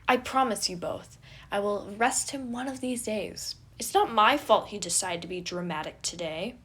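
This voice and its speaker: A woman's voice: mid-range voice, expressive, moderate pace, fairly bright tone, breathy edge. A woman voicing a bold, theatrical teenage prince with a grandiose, exclamatory way of talking in a storybook reading. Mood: defensive, proud. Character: bold theatrical young prince, boastful and dramatic.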